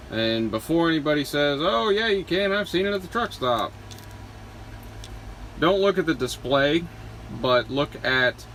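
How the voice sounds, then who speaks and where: A man giving a casual hands-on gear walkthrough, plain-spoken and halting, pausing between short phrases. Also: medium pitch, poor audio. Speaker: truck driver showing his CB radio setup. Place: inside a truck cab. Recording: steady vehicle and air-conditioning noise behind.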